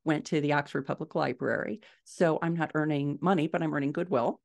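A woman's voice: deep voice, steady tone, a little fast, creaky. A middle-aged American woman chatting as a guest author on a podcast, thinking aloud as she explains. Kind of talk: casual podcast interview. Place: home room, indoors. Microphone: video call, desktop mic.

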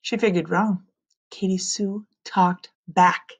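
A woman in her thirties reading a children's story aloud like a teacher, expressively, with dramatic drawn-out emphasis on key words. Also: on the low side, fairly even intonation, slightly rough. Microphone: computer mic on a screen-share video recording.

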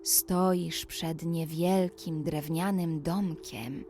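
A woman narrating a scripted children's bedtime story, speaking deliberately and addressing the young listener directly. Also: low-pitched, fairly even intonation, warm tone. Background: music.

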